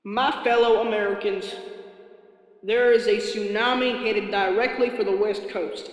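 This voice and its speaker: A man playing a dramatic, decisive American president in an amateur audio drama. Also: sits high. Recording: echoey, long reverberant hall sound.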